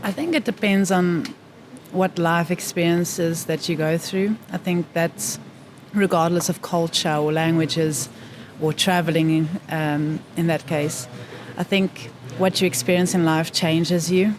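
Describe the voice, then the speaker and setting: Interview guest, woman from South Africa, lower voice, reflective and measured, faint noise behind. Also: fairly even intonation. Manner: thoughtful, pauses to find words. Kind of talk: reflective conversation.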